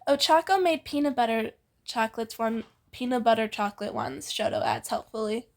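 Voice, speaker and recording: A woman's voice: a little high, normal speed. A young woman reading fan fiction aloud casually, giggly and often breaking off into banter. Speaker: amateur fan fiction reader and co-host.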